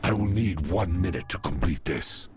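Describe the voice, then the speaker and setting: A man playing a grave, cautious alien adviser in a video game, gravelly, speaking in a measured, formal, precise way. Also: low-pitched, animated, music in the background. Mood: focused. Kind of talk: cinematic video game acting.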